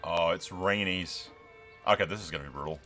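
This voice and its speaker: A man's voice: low-pitched, a little fast, pushed and bright, soft background music. A man giving casual, sweary game commentary, slipping into mock-grandiose role-play narration. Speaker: gaming video host.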